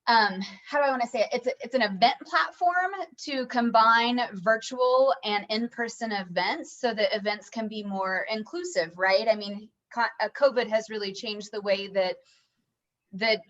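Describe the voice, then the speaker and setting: A woman introduces her firm on a video call in a casual, rambling way. She restarts and fills pauses often. The call audio is a little dull. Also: a little high, a bit bright. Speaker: communications firm vice president.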